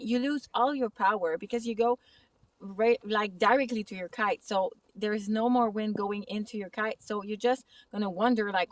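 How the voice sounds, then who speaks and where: A woman with a French Canadian accent giving a casual kitesurfing lesson outside on a snowy lake, speaking slightly halting English. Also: fairly high pitch, no room sound, far from the mic, poor audio. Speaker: kitesurfing instructor. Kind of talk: outdoor tutorial vlog. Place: snowy lake outdoors in winter.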